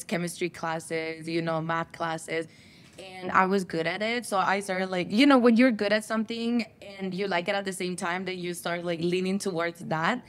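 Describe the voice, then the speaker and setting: A young woman from El Salvador, a guest on a studio talk show, chatting in an upbeat way with lots of "like" fillers. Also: medium pitch. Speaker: guest, chemical engineer and content creator. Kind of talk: casual interview chat. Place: TV studio set, seated on couch.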